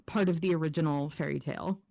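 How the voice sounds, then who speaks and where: A woman's voice: low voice, steady tone, quick pace, gravelly, warm tone. Breezy, slangy woman in casual chat. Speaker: podcast co-host.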